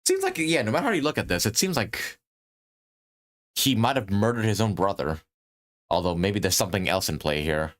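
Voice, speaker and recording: A man's voice: lowish voice, very animated, brisk, pauses often, a bit bright. A man streaming a game, thinking aloud in casual commentary and reading on-screen text. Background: game music.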